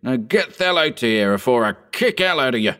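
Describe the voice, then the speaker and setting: A man's voice: fairly low pitch, very animated, moderate pace, fairly bright tone. A man voicing a coarse, blustering male saloon keeper in an audiobook reading. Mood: furious. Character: burly male saloon keeper, rough and bossy.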